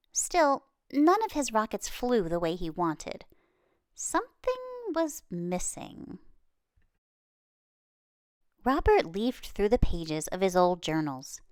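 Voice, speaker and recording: A woman reads a children's book aloud in an animated voice that rises and falls widely. She takes her time, using dramatic pauses and emphasis to shape each phrase. The sound is dry and close. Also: medium pitch, no echo. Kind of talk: expressive read-aloud storytelling.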